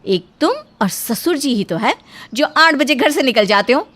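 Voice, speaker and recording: A woman's voice: sits high, lively intonation, normal speed, fairly bright tone. A woman voicing a short-tempered, threatening young daughter-in-law in a cartoon moral story, scolding with sarcasm and grievance. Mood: sarcastic, scolding.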